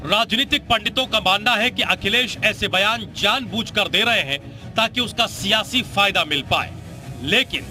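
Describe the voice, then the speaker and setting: A man with a high voice narrates a news report. His delivery is scripted, in the manner of a TV reporter, and music plays clearly underneath. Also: moderate pace, bright, forceful tone.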